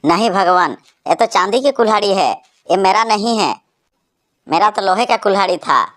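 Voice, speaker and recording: A woman's voice: mid-range voice, expressive, moderate pace, fairly bright tone. A woman voicing the bird characters in a Hindi cartoon story, in a plain everyday voice. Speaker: voice actor for cartoon birds.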